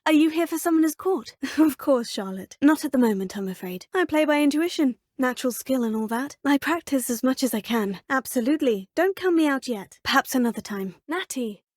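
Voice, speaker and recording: A young woman voicing a polite, self-assured female witch student in a video game, with measured, proper phrasing. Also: high-pitched, lively intonation, no echo, studio quality. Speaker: player character. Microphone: studio mic.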